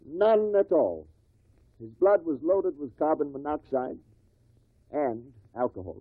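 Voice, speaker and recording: An actor playing an official, plain-spoken coroner who formally explains matters to a jury in a 1950s radio drama. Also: male voice, fairly high pitch, sing-song, a little slow, no echo. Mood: official, matter-of-fact. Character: brisk, official male coroner presiding over an inquest. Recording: tinny, dry old radio recording.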